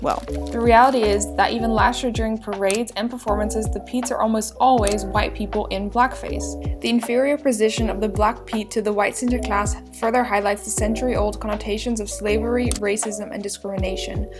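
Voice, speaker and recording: Woman narrator doing a scripted explainer, medium pitch voice. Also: fairly even intonation, a little fast, hardly any pauses, over music.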